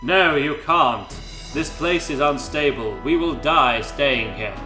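A man doing a grave, slow heroic wizard. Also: fairly high pitch, bright, forceful tone, pitched below the performer's own voice. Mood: urgent, commanding. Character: solemn, heroic, protective male wizard. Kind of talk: mock-dramatic storybook acting. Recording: roomy echo with music behind.

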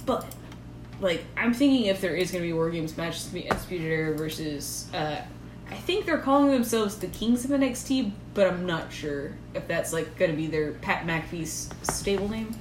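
A woman's voice: lowish voice, moderate pace. A woman co-hosting a podcast, chatting in a rambling, giggly way with plenty of fillers. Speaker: podcast co-host. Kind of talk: casual chat about wrestling. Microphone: shared recorder. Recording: echoey room with background noise.

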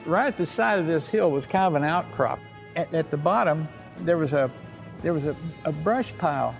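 An older American man, a war veteran, telling his memories informally in a folksy, halting way with repeated phrases. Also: a little high, lively intonation, creaky, soft background music. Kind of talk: informal oral-history storytelling.

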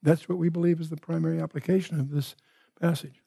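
An older man teaching the Bible, reading verses and explaining them in a measured way, restating his points as he goes. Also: a little high, level tone, gravelly, warm tone, breathy edge, dry recording.

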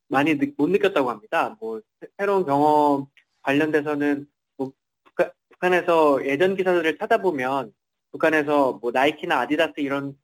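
A man's voice: on the high side, low-quality recording. A man reporting in a semi-formal interview, somewhat hesitantly, often repeating and rephrasing his words.

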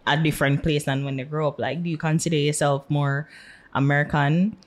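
A woman's voice: low voice, steady tone, brisk. A woman co-hosting a podcast interview, asking chatty, curious questions with lots of "you know" and "mm-hmm.". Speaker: podcast co-host. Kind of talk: casual interview.

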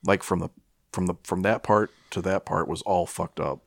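A middle-aged American man chatting casually as a podcast co-host, telling stories in a rambling, halting, profane way. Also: low voice, steady tone, creaky, no echo, studio quality. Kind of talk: casual chat, rambling storytelling.

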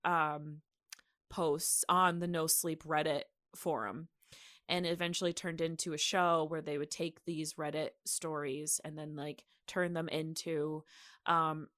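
A woman podcast host chatting casually in a halting, informal way, often dropping in "like" as she thinks aloud. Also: low-pitched, flat delivery, fairly bright tone, no room sound. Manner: halting, informal, filler-heavy with "like". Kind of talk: casual chat about horror radio.

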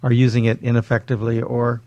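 A man's voice: medium pitch, monotone, fast, mellow, no echo, lo-fi sound. A man explaining things conversationally as an expert guest in an interview. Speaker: guest, LinkedIn coach.

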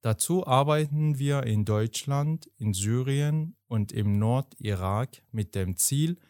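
Slow, deliberate man. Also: medium pitch, a little mellow, dry recording, studio quality. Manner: measured and deliberate, stretching words. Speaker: co-host. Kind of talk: podcast conversation, partly reading a quote aloud.

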